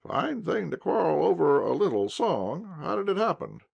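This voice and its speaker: A man's voice: a little high, very animated, normal speed, creaky, slightly rough, dry recording, highs cut off, voice pushed up, heavily acted. A man voicing a fussy, nervous garrison officer who talks in a fretful, pleading way.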